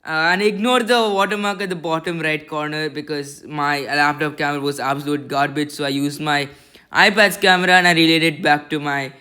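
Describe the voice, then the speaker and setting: Squeaky, rambling man reviewing a telescope. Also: fairly even intonation, brisk, pushed and bright, clear, resonant tone. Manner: rambling, self-conscious. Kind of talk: casual voice-over product review. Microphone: laptop mic.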